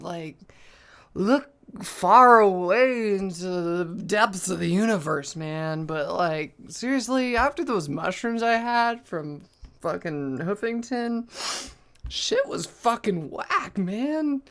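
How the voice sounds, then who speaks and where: Spaced-out, drawling stoner for audio drama, played by a woman; slow and lively in pitch. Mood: dazed, musing. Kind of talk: audio drama voice acting outtakes.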